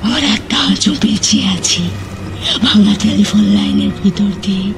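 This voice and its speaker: A woman playing the haunting, reproachful ghost of a murdered woman in a dramatic audio drama, lamenting with dramatic pauses. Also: mid-range voice, monotone, over music, lightly acted. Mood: sorrowful, haunting. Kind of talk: audio drama acting in Bengali.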